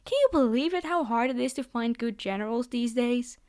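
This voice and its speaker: A woman's voice: fairly high pitch, lively intonation, a little fast, darkish tone, smooth, no room sound, clean studio sound, lightly acted. A woman playing a haughty, possessive vampire noblewoman in an ASMR fantasy roleplay, speaking in a theatrical, condescending and formal way. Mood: indignant. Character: haughty, possessive vampire noblewoman, polite yet menacing captor.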